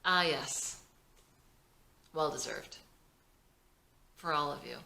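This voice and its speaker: A woman's voice: sits low, takes it slowly, gravelly, pushed and bright, reverberant, heavily acted. A woman reading the part of a fond, teasing young male musician in a drama script.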